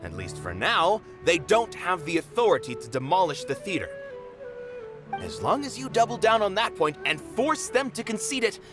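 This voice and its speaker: An actor playing a dutiful, proud theater manager in a video game, speaking in a measured, formal, earnest way. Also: male voice, high voice, very animated, music in the background. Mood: resolute, earnest.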